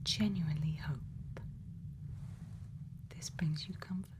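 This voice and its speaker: Slow, low, soft ASMR woman, half-whispering. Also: steady tone. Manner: gentle, unhurried, soothing. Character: sweet, caring scientist speaking to a robot friend. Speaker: ASMR performer playing a scientist. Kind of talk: soft-spoken ASMR roleplay, often whispered. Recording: faint background noise.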